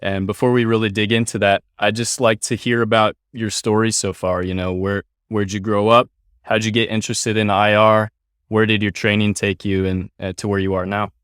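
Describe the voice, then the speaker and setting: Upbeat low-voiced male podcast host. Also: flat delivery, normal speed, a bit bright, smooth, dry recording, studio quality. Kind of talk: podcast hosting.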